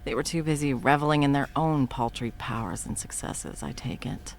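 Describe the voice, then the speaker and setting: A woman's voice: very deep voice, lively intonation, moderate pace, a little hiss or hum. A woman in her thirties voicing a profane, blunt woman supervillain in an audio roleplay, mocking at first and then coaxing with pet names. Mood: indignant. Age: 30s. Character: sharp-tongued woman supervillain, long-time arch-enemy.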